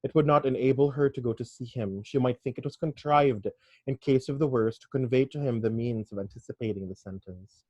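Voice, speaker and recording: Guy reading a gloomy self-hating gentleman, steady grave tone, middle pitch. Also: a little fast, no room sound, lightly acted. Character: self-loathing weary young English gentleman. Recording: dry, slightly dull recording.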